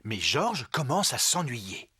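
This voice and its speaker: A man's voice: mid-range voice, very animated, slow, very noisy. A man reading scripted narration as the storyteller of a children's cartoon. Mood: narrating. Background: music.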